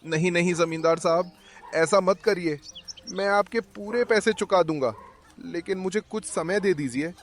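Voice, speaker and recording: A man voicing a humble, anxious poor farmer in an animated village story, in an expressive, pleading way. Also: high-pitched, normal speed, a little hiss or hum, lightly acted. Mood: worried, pleading. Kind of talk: Hindi animated moral story dubbing.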